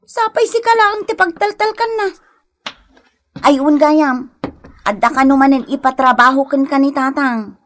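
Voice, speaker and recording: An actress playing a nagging, scolding young village wife in a radio comedy drama, exclaiming dramatically with an Ilocano accent. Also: female voice, high-pitched, a little slow, pauses often. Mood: scolding, exasperated.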